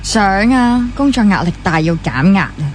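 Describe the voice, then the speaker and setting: A woman's voice: medium pitch, big pitch swings, moderate pace, a little hiss or hum, natural voice. A young woman with a Hong Kong Cantonese accent playing a weary, driven trainee doctor and teasing younger sister in a naturalistic radio drama. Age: young adult.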